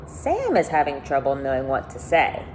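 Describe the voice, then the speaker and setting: A woman teacher reading a children's novel aloud to her class, speaking deliberately and pausing to think aloud with questions. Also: rumbling low, huge pitch swings, darkish tone, slight background noise, poor audio. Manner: deliberate, questioning, stressing key words for young listeners.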